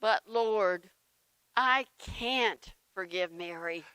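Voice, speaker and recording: A woman's voice: medium pitch, drawn-out words, bright, forceful tone, clean tone, no echo, poor audio. A woman playing a flustered, defensive churchgoer at prayer, exclaiming indignantly in a comic dramatic reading. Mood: humbled.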